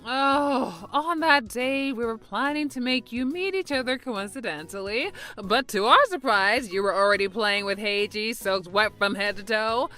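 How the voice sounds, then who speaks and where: A woman's voice: high voice, pushed and bright, full character voice. A woman voicing a cheerful, teasing mother in an anime-style romcom manga dub, with a playful, breezy manner.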